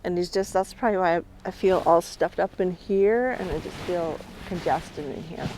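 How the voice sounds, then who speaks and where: A middle-aged woman with a lowish voice talks as a spirit medium, calm and reflective, her sentences often fading softly. She is recorded outdoors on a coastal island. Faint outdoor noise sits behind her. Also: normal speed, rough recording. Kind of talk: on-location reality show narration.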